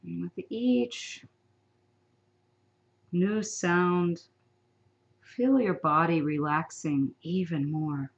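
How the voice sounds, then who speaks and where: A woman leading a guided sleep meditation, giving soft, soothing instructions with long pauses. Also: on the low side, steady tone, muffled recording.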